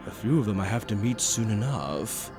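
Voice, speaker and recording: A man's voice: fairly low pitch, expressive, moderate pace, a little mellow, faint music behind, natural voice. A man voicing a suave, theatrical demonic bird tempter in a roleplay audio, drawling with mockingly polite, condescending villainy. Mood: condescending, bored. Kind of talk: scripted character roleplay audio.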